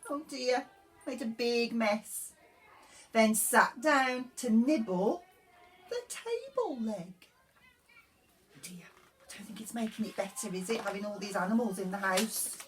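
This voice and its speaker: A woman's voice: on the high side, expressive, measured pace, pauses often, far from the mic, soft background music. A woman reading a picture book expressively to young children, often putting questions to the listener. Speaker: nursery story reader.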